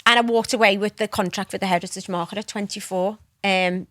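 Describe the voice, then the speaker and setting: A woman from Liverpool in her thirties with a strong Scouse accent, a podcast guest who tells blunt, animated stories and acts out the voices in them. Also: medium pitch, brisk, no echo, clean studio sound. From Liverpool, England. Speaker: guest, entrepreneur. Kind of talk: casual interview chat.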